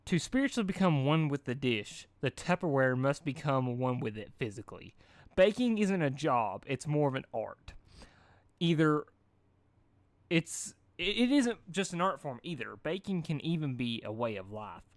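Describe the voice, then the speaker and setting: A man's voice: on the high side, very animated, moderate pace, no echo. Scheming cartoon mare, falsely cheerful and smirking, acted out by a man; dry, close recording. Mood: preachy. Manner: mock cheer, smirking, muttering asides. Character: sly, scheming, mocking female cartoon party pony.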